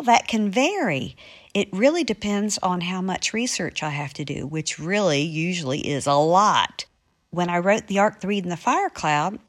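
A woman, an author, chatting conversationally as she answers readers' questions. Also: mid-range voice. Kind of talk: conversational monologue.

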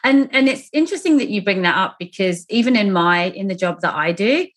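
Woman podcast host, mid-pitched voice. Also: expressive, brisk. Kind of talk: conversational interviewing.

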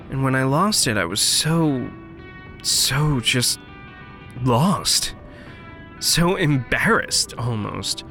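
A vulnerable earnest magic big brother in an audio drama, high voice, really swoopy, halting n kinda formal. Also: male voice, fairly bright tone, full character voice. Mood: wistful, embarrassed.

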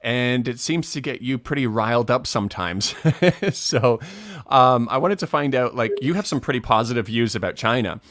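A man hosting a casual video call chat, talking in a relaxed, hesitant way with many restarts. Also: medium pitch, normal speed, no echo, clean studio sound. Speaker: host.

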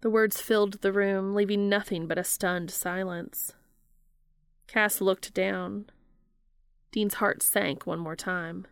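A young adult woman reading fan fiction aloud in a slow, even storytelling manner. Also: mid-range voice, clean tone, dry recording.

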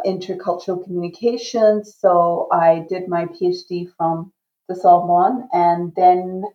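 A woman in her fifties from Iran, whose first language is Urdu, giving a measured and reflective interview answer as a guest professor. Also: lowish voice, roomy sound, highs cut off. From grew up in Iran. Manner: slow, measured and reflective, with fillers.

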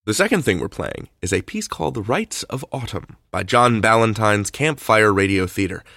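Animated, gravelly male podcast host. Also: medium pitch, dry recording, clean studio sound. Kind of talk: conversational scripted introduction.

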